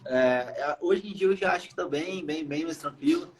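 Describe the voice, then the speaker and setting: A man's voice: on the high side, moderate pace, a little hiss or hum. A young Brazilian man from Brazil, a podcast guest speaking casually with many fillers and hesitations. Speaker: podcast guest, iOS developer. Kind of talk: casual interview chat.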